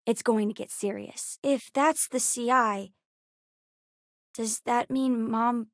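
A woman voicing a bright, bookish, sassy young girl in a video game, earnest, chatty and precocious. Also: a little high, fairly even intonation, slow, dry recording, studio quality. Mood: subdued. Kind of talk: video game character dialogue.

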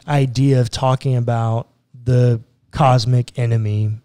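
Pastor guy walking through scripture on a podcast, mellow low-key tone, dry close mic. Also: steady tone, a little slow, smooth, no echo. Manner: earnest, explaining step by step with rhetorical questions. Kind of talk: teaching Bible podcast conversation. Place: small studio room with bookshelves. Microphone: boom mic close up.

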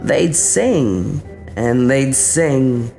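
A woman reading a rhymed children's story aloud, with dramatic, drawn-out emphasis. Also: a bass voice, expressive, gravelly, warm tone, faint music behind. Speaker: storybook reader.

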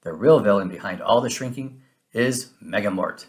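A man reading a children's story aloud in an expressive, animated way with dramatic emphasis. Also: fairly low pitch, moderate pace. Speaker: storybook reader. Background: music.

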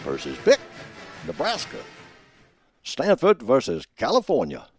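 A man performing as a folksy, pompous old football professor, giving grandiloquent scripted comic radio commentary in a husky voice. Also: mid-range voice, wildly swooping pitch, unhurried, soft background music. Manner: folksy, grandiloquent alliterative delivery. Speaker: host of a college football prediction show.

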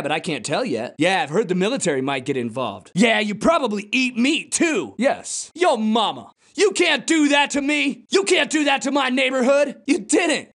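A man voicing an excitable, snarky everyman bystander in a video game, with a whiny, exaggerated delivery. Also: sits high, no room sound, clean studio sound, full character voice. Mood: indignant.

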